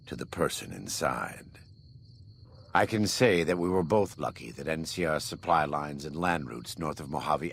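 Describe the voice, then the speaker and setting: A man playing a grave, devout, burn-scarred former war leader in a video game, speaking in a solemn, measured, preacherly way with a deep gravelly voice. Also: a little hiss or hum, full character voice. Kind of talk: video game dialogue acting.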